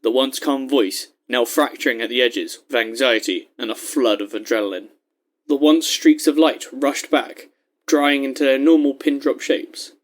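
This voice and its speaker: A man with a medium-pitched voice narrates an audio story. He reads scripted prose in a measured way, setting each scene with descriptive care.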